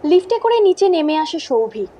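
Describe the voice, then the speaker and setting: A woman's voice: very high-pitched, big pitch swings, moderate pace, mellow. A woman delivering dramatic, scripted horror narration in a suspenseful manner.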